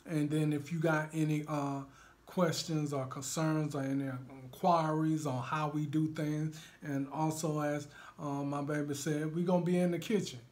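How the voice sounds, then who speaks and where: A man from Alabama with a Southern country twang, hoarse from a cold, chatting in a laid-back, rambling way as co-host of a couple's vlog. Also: on the high side. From Alabama, USA. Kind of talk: casual couple vlog chat.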